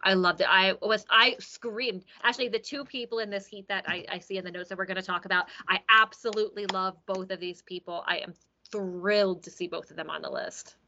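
Woman, bright crisp tone, eager gushing co-host, muffled lo-fi sound. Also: mid-range voice, steady tone, a little fast. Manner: gushing, enthusiastic, explains at length. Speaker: podcast co-host. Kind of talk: casual chat about song contests.

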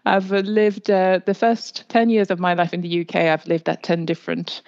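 A woman's voice: on the low side, little pitch movement, normal speed, darkish tone, no echo, muffled recording. A woman speaking hesitantly as a panel guest, with many fillers. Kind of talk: casual conversation.

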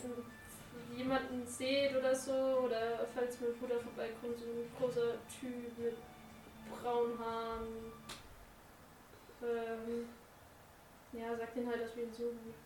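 A woman playing a tabletop game in character, talking in a halting way with many fillers. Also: a little high, monotone, a little mellow. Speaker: player. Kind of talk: in-character roleplay chat. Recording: roomy, distant mic, faint noise.

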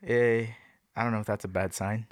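A man's voice: on the low side, fairly even intonation, fairly quick, dry recording, clean studio sound. A man in his twenties hosting a casual first podcast episode, rambling and laughing as he talks. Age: 20s. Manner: rambling, laughing, self-correcting. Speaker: podcast host. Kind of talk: casual chat. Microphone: home podcast mic.

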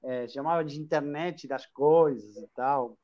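A man's voice: fairly high pitch, unhurried. Argentine guy chatting as a guest on a live stream, restarts a lot, kinda dull lo-fi sound. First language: Spanish. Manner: talkative, with frequent restarts and tag questions. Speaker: guest, hostel chain owner. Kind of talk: live online interview.

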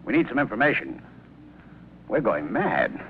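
An actor playing a level-headed captain held prisoner, earnest and reasoning in a dramatic 1950s radio style. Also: male voice, on the low side, huge pitch swings, moderate pace, vocal fry, exaggerated voice. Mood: earnest probing. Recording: muffled, noisy old radio broadcast.

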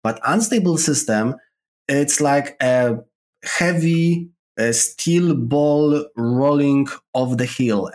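A man from Poland with a Polish accent, a podcast guest who explains his views with examples on a call. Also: mid-range voice. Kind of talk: casual interview chat. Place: remote call.

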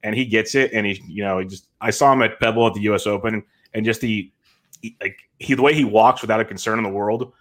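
A man's voice: lowish voice, fairly even intonation, fast talker. A man in his thirties from California, a podcast guest chatting casually in a rambling way and laughing often.

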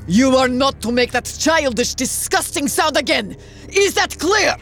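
A woman's voice: high-pitched, animated, measured pace, a bit bright, faint music behind, heavily acted. A woman playing a stern, haughty female Air Force colonel in a comedic audio drama, speaking in formal commands. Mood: outraged.

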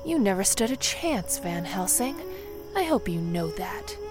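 A woman's voice: mid-range voice, big pitch swings, a little slow, music in the background. An actress playing a sarcastic, hot-headed female monster bent on revenge in a radio drama, speaking with emphatic attitude. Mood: grim resolve. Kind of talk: radio drama acting with narration.

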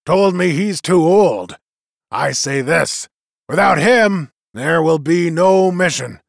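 Narrator voicing a stubborn one-eyed old campaigner, blunt and emphatic, raised and lively. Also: male voice, on the high side, takes it slowly, vocal fry, dry recording, clean studio sound, pitched above the performer's own voice, full character voice.

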